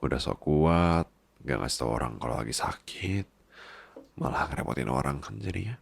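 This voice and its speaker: A man's voice: very deep voice, animated, normal speed, vocal fry, dry recording, full character voice. A man playing a bossy, scolding but doting boss husband, teasing and tender in an intimate ASMR roleplay. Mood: soft, grumbling. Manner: teasing, nagging, tender, slips into English.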